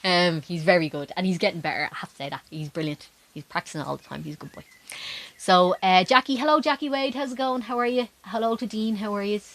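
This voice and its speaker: A woman's voice: mid-range voice, fast. A woman from Dublin with an Irish accent, chatting casually and informally as a folk singer hosting a livestream, talking to viewers between songs. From Dublin, Ireland. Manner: chatty, informal, greeting people by name. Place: small wooden garden shed.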